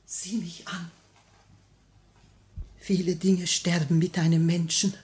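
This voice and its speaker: A widowed grand duchess in a 1950s radio play. Her voice is very rough and breathy, slightly low, and she speaks slowly. She sounds proud, mournful and desperate. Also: level tone, darkish tone, heavily acted. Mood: haunted. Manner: solemn, intense, mournful. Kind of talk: 1950 German radio play acting.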